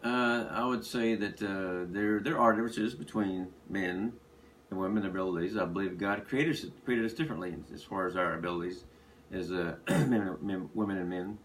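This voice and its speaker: A man from Texas, an engineer answering interview questions with many pauses and fillers. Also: deep voice. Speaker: aerospace engineer. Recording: distant mic, faint noise.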